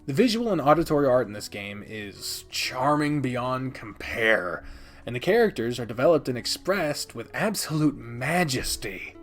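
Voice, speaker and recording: Emphatic male countdown host over music. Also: medium pitch. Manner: emphatic, dramatic delivery with swearing and playful asides. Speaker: host of a game video channel. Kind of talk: casual, enthusiastic top-ten countdown commentary.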